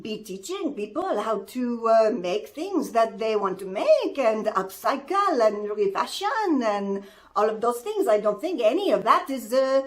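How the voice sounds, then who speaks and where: A woman voicing a chatty, good-natured fashion sidekick, speaking haltingly with singsong phrasing. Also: a little high, big pitch swings. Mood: earnest. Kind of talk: playful in-character vlog chat.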